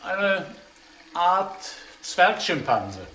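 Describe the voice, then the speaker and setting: High-pitched scientist husband in echoing bathroom. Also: male voice, big pitch swings, very slow, sharp tone, heavily acted. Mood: explaining. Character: obsessed scientist husband, self-assured and lecturing. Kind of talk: radio play acting. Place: tiled bathroom, water running behind. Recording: echoing bathroom, running water noise.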